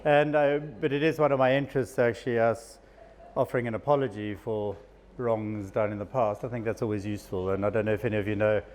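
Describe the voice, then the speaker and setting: A man's voice: fairly low pitch, steady tone, fast, darkish tone. A man from South Africa with a South African accent, a psychiatrist giving a casual, self-deprecating talk to a live audience. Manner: chatty, self-deprecating, with frequent laughs. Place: event room with an audience.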